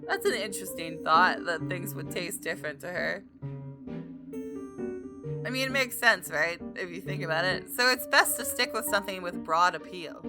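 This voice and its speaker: A woman gamer narrates a story game with a lively, swinging pitch. She reads theatrically and drops into casual asides, with music clearly playing behind her. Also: normal speed. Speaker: gaming video host reading a visual novel aloud.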